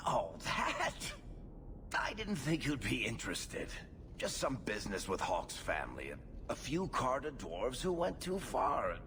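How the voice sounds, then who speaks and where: An actor playing a roguish, wry dwarf storyteller in a video game, with a dry, sardonic way of joking. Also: male voice, medium pitch, wildly swooping pitch, a little slow, long reverb tail, background noise. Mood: wry, explaining.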